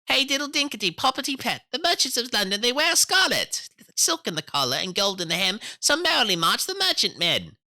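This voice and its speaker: A man voicing a chorus of tiny merry tailor mice, chanting nursery rhymes in high twittering voices. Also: sing-song, fairly quick, few pauses, pushed and bright, exaggerated voice. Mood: merry singing.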